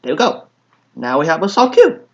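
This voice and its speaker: A man's voice: fairly high pitch, wildly swooping pitch, a little fast, a little hiss or hum. A young man, a native Vietnamese speaker with a Vietnamese accent, answering viewer questions in a hesitant, casual way with many fillers. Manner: hesitant, many fillers and soft trailing asides. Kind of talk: casual Q&A vlog. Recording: dull lo-fi home recording.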